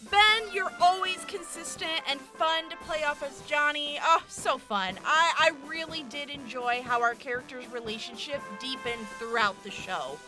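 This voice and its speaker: A woman voice actor giving a heartfelt, grateful thank-you, with halting restarts. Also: high voice, music in the background, poor audio. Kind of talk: casual thank-you message.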